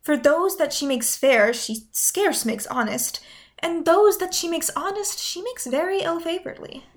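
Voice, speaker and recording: A loving, playful young noblewoman, acted by a woman in a Shakespearean radio drama. Her voice sits very high, and she speaks articulately, with a tender, coaxing manner. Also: measured pace. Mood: playful, witty. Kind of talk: Shakespearean radio play acting.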